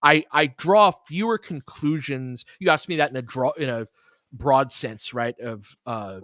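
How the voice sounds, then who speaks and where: A man's voice: medium pitch, unhurried, fairly bright tone, no room sound. Conversational male sports commentator, dry close sound. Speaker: sports podcast host and live play-by-play commentator. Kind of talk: casual analysis and live game commentary, chatting with listeners.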